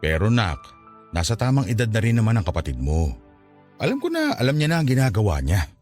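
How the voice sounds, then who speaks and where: Patient old filipino dad in a radio play, lowish voice, super swoopy intonation, soft music behind. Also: male voice, wildly swooping pitch, fairly quick. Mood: resigned, understanding. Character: gentle, forgiving, resigned older father. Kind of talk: Tagalog radio drama acting. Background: background music.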